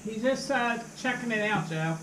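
High-voiced man, distant and echoey. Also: animated, moderate pace. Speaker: homeowner. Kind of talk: casual chat. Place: indoors in a house. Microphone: camera across the room. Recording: distant, echoey, noisy room pickup.

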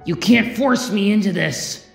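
A woman voicing a distressed, defiant young male hedgehog hero in a comic dub, with a halting, anguished delivery. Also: mid-range voice, vocal fry. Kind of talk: scripted fan comic dub. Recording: echoey voice over background music.

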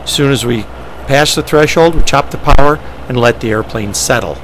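A man's voice: mid-range voice, moderate pace. A man giving matter-of-fact, step-by-step instructional narration as a pilot, often in short clipped phrases. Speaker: pilot presenting ski flying. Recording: steady engine and vehicle noise.

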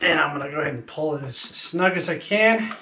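A man's voice: a little high, expressive, fairly quick, very noisy. A man hosting a video, casually explaining each step of a build while he works with a body-worn camera. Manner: plain step-by-step explaining while working with tools. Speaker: channel host. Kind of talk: casual how-to narration. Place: driveway outdoors, then house kitchen floor. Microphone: body-worn action camera.

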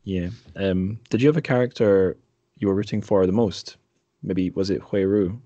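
A man with a low voice hosts a casual literary discussion. He speaks conversationally as an interviewer. The sound is dry, with very little room in it. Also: moderate pace, mellow, dry recording, dull audio. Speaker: podcast host.

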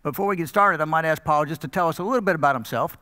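A man's voice: fairly high pitch, very fast, pushed and bright. A middle-aged man moderating an informal question and answer session as the interviewer on stage. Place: on stage in a church auditorium. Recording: echoey hall with long reverb.

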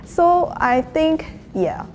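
A woman's voice: high-pitched, very animated, very slow, a little mellow, slight background noise. Malaysian woman, considered explainer. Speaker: panel guest. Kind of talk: casual panel discussion.

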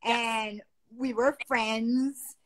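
A woman's voice: fairly high pitch, very slow, low-quality recording. A woman hosting a casual livestream from her bedroom, chatting animatedly on her phone. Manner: animated, exclamatory, gestures a lot. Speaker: livestream host. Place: bedroom, seated on a bed. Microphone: phone on a video call.

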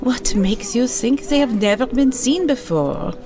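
An actress playing a wealthy, imperious art collector with a French accent, formal and grandly poised in an audio drama. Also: female voice, fairly high pitch, lively intonation, a little slow, music in the background. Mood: smoothly condescending. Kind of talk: full-cast audio drama acting.

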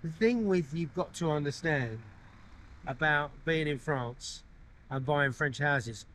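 Male vlogger, 50s, slightly higher-pitched voice, casual to-camera chat about his projects. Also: takes it slowly, fairly bright tone. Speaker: renovation vlogger.

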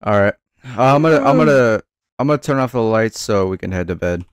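A low-voiced man in loose, slangy gaming chat, improvising a skit. His pitch moves around a lot and his delivery is casual and a bit hesitant. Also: lively intonation, a little fast, clean tone. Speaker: host of a gaming horror skit video.

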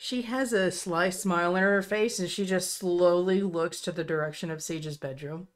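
A woman's voice: lowish voice, steady tone, moderate pace, soft background music. A woman narrating an improvised tabletop roleplay scene as one of its players.

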